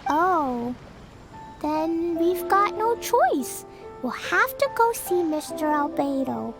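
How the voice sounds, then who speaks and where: A very high, bubbly little girl in a fantasy game, chattering innocently and unhurried. Soft game music runs underneath. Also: sing-song, exaggerated voice. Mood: chatty. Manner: bubbly, childlike, says "super duper" and "Mister". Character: small excitable girl, cheerful and innocent. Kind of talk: game voice acting.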